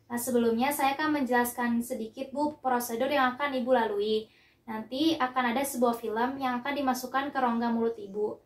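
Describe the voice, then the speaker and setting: A woman's voice: on the high side, fairly even intonation, brisk. A young woman narrates a scripted instructional demonstration, explaining each step politely and carefully. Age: young adult. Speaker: dental student demonstrating a procedure. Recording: echoey room with music behind.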